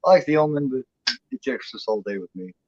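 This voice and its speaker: A man's voice: mid-range voice, sing-song, fast, dull audio, background noise. Profane rambling man in his forties. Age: 40s. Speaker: co-host. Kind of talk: casual chat.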